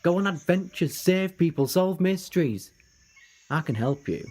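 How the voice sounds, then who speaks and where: A man's voice: sits high, lively intonation, moderate pace, darkish tone. A man playing an eager, ageless time-travelling adventurer in an audio drama, earnest and persuasive with flippant asides. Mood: eager, reassuring. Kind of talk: fan audio drama acting.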